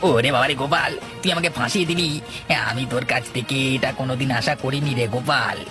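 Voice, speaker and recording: A man's voice: mid-range voice, quick pace, music in the background, close to an everyday voice. Lazy, cowardly village thief acted by a man. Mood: pleading. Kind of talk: cartoon dialogue acting.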